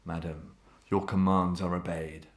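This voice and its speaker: A man's voice: sits low, flat delivery, a little slow, reverberant. A man playing a tormented, menacing murderer husband in a Victorian melodrama, declaiming grandly and commandingly in archaic English. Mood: mocking. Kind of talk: old-fashioned melodramatic stage acting.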